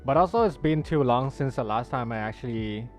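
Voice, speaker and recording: A man's voice: medium pitch, brisk. A man livestreaming a game, chatting casually and greeting viewers in a friendly way. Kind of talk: casual chat with stream viewers, reading chat aloud. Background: soft background music.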